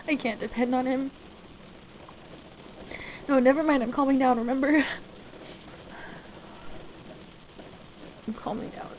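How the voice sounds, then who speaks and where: Creaky-voiced, distressed woman acted out in intimate roleplay. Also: high voice, noisy background. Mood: bitter, resolute, then upset, near breaking. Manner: halting, emotional, pleading conversational delivery. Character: distressed, soaked woman wanting to leave her partner, defensive. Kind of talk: intimate ASMR roleplay audio drama, one side of a conversation.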